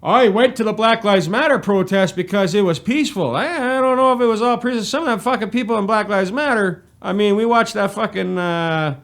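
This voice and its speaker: A man's voice: sits high, brisk, hardly any pauses, fairly bright tone. A man giving a crude, mocking, exasperated reaction commentary in a casual, profane style. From Canada. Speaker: commentator reacting to a press conference.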